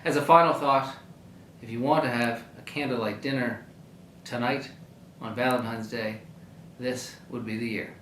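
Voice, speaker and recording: A man reads a prepared civic update in a mid-pitched voice. He is measured and plain-spoken, pausing deliberately between phrases. The room echoes around him, with a faint rumbling noise underneath. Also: fairly even intonation, fairly bright tone. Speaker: mayor giving a public address. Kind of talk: prepared public service update.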